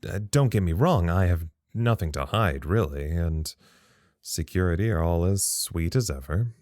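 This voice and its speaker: A man playing a thoughtful, uneasy log-keeper at a secret research site in an audio drama, measured and confiding. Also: sits low, expressive, darkish tone, dry recording, studio quality. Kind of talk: audio drama monologue as a recorded incident log.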